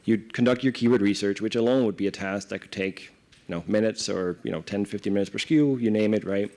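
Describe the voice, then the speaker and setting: A man's voice: on the low side, quick pace, darkish tone. A man from Denmark with a Danish accent, explaining things informally in a live interview and often checking in with "right?". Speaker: corporate director, interview guest. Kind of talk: conversational panel interview answers. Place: live event before an audience.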